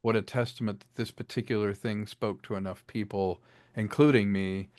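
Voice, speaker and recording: A man's voice: fairly low pitch, normal speed, dry recording. A man who directed an audio drama, chatting in an interview and acting out lines as he explains them. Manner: animated, acts out lines while explaining. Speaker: director, guest. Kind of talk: casual interview talk.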